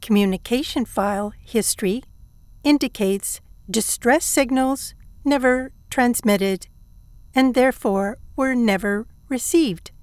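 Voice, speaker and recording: A woman playing a calm, dutiful ship computer in an audio drama, reporting in a measured, formal, emotionless way. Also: on the high side, dry recording. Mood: impassive.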